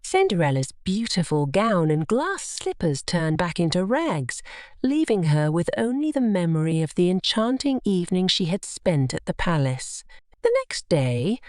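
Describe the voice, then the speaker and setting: A woman narrating a scripted children's bedtime story in an expressive, measured storytelling manner. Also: lowish voice, no echo, clean studio sound.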